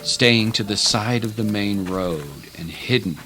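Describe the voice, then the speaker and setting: A man's voice: on the low side, a little slow, sharp tone. A man narrating a scripted dramatic story as an audiobook narrator. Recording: music under the voice.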